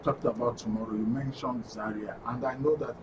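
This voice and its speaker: A man with a Nigerian accent hosts a live studio talk show, announcing in a deliberate, explanatory way. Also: medium pitch, steady tone, lo-fi sound. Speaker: radio and TV show host. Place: radio and TV studio. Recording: distant microphone with faint background noise.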